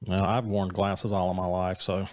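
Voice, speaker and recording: A man's voice: low-pitched, level tone, normal speed, darkish tone, no room sound. A man in his late forties co-hosting a casual chat, teasing with dry jokes and banter. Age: 40s. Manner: wry, joking banter. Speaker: podcast co-host.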